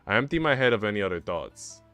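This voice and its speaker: Low-voiced young man reading aloud. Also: very animated, quick pace, a bit bright, faint music behind. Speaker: streamer reading a visual novel aloud. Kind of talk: narration with casual slangy reactions.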